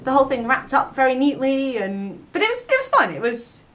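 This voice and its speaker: A young woman with a clearly high-pitched voice talks casually about books. She co-hosts a vlog and speaks in an informal, conversational way. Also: sing-song, a little fast, fairly bright tone, a little hiss or hum. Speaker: book vlog co-host. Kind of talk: casual book chat.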